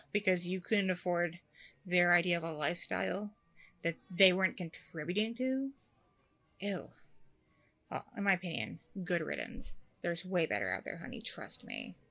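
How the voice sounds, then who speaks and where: A woman playing a warm, chatty single working mom on a date, in a casual, rambling ASMR roleplay. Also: fairly low pitch, expressive, normal speed, creaky. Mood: flattered, affectionate. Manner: casual, rambling, informal slangy talk. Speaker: roleplay performer.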